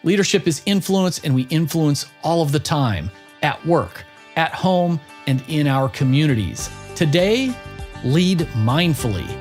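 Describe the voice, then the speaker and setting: A man's voice: on the high side, expressive, measured pace, soft background music. A man hosting a podcast in an informal, chatty, conversational way, as in an interview. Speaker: podcast host.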